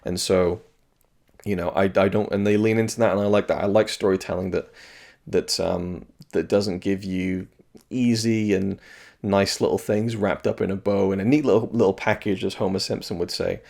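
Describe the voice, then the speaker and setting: A slightly hoarse man reviewing video games in a casual, rambling solo talk, thoughtful and full of restarts. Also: low voice, steady tone, moderate pace, darkish tone.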